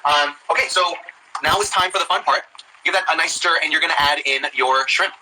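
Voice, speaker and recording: A man's voice: fairly high pitch, fairly quick, sharp tone, a little hiss or hum, poor audio. An American man giving casual, encouraging cooking instruction as a chef, often repeating himself, over a live video call.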